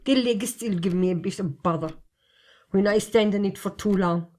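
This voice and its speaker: A woman's voice: mid-range voice, a little fast, darkish tone, lightly acted. A woman plays a gossipy country post carrier with an Irish accent and a mid-range female voice. The talk is folksy, sly and roundabout, in amateur stage-play style. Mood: teasing, stubborn. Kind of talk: amateur stage comedy-drama acting.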